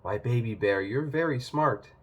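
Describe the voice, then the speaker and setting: A man's voice: medium pitch, moderate pace, lightly acted. A man voicing a polite, innocent, chatty little girl in a storybook read-aloud. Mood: bright, polite.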